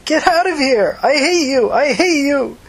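A woman voicing an enraged, despairing runaway young woman in a story reading, bitter and hoarse. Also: high voice, lively intonation, unhurried, pitched above the performer's own voice. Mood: enraged, anguished. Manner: shouting accusations, profane, bitter. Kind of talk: audiobook character acting.

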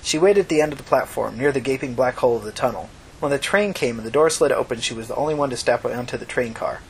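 A woman's voice: a bass voice, fast talker, slight background noise, poor audio. A woman reading scripted novel narration for a fiction podcast.

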